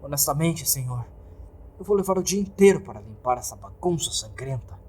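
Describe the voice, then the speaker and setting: A man's voice: fairly high pitch, animated, fairly quick, dark tone, slightly rough. A Brazilian man voicing an indignant, exasperated female hotel maid who scolds and complains emphatically in a horror story. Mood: exasperated complaint.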